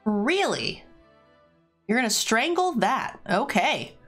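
A woman streaming herself playing a card game, her voice slightly raspy from losing it. She chats loosely with viewers and answers their questions between moves, over faint music underneath. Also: on the high side, sing-song, slow pace, a bit bright. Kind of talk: casual live-stream chat while playing a card game.

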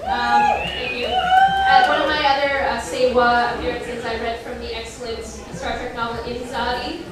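High-voiced woman, echoey live comedy reading. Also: normal speed. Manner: self-deprecating, nerdy enthusiasm; mock-dramatic reading broken by deadpan commentary. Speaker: performer reading fan fiction on stage. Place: indoor live comedy reading event before an audience. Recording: roomy echo, distant mic, audience crowd noise. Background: audience laughter.

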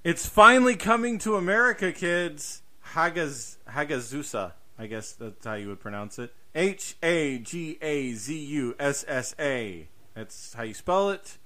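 A man's voice: fairly high pitch, expressive, unhurried, few pauses, bright, forceful tone. A man co-hosting a podcast, chatting casually about horror films with showy emphasis. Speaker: podcast co-host. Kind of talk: casual horror film chat.

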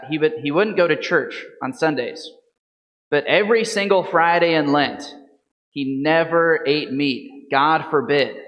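Priest, 30s, measured homily delivery, somewhat high voice, church reverb. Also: male voice. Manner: calm, measured preaching with rhetorical questions. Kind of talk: gospel reading and homily. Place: church sanctuary at a lectern. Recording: reverberant church from a lectern mic.

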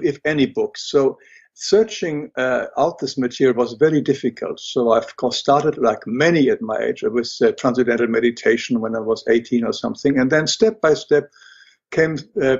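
An older man with a German accent talks as a guest, pausing often and restarting his sentences. His voice is husky and a little breathy. The audio sounds dull and lo-fi. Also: mid-range voice, darkish tone. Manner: hesitant, many fillers and restarts. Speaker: guest, illustrator and writer. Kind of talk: interview conversation.

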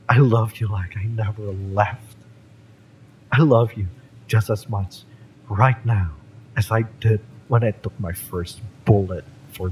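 A man playing a fiery, lovesick young mercenary bodyguard in a romance audio roleplay, speaking with halting, wounded emotion. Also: fairly low pitch, slight background noise, low-quality recording.

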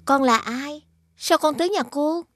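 A woman voicing a gentle, caring young mother. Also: high voice, measured pace.

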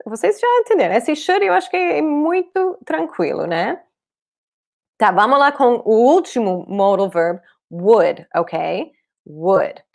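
A woman in her thirties, a native English speaker with a foreign accent in Portuguese, teaching an online language lesson in a clear, deliberate way. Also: on the high side, big pitch swings. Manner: clear, deliberate explaining with repeated examples. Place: small room indoors, at a desk.

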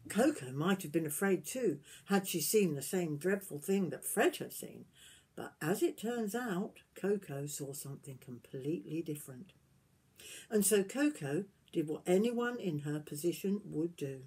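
A woman in her sixties reads a picture book aloud as a granny would to young children, adding gentle questions and asides to them. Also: lowish voice, expressive, unhurried, husky. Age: 60s. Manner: gentle questioning, addressing young listeners directly. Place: small wood-panelled garden room, indoors.

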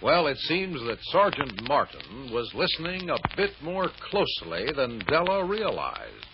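A man's voice: medium pitch, huge pitch swings, unhurried. A man narrating an old radio drama serial as its announcer, in a dramatic storytelling style. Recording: muffled, noisy old radio recording.

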